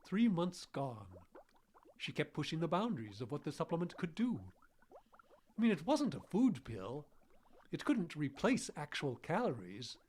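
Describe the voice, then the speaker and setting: An actor playing an elderly, guilt-ridden scientist with failing memory, speaking formally and haltingly in a radio drama. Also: male voice, on the high side, wildly swooping pitch, darkish tone. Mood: regretful, anguished. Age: older. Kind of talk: live radio drama acting. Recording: noisy live radio drama recording.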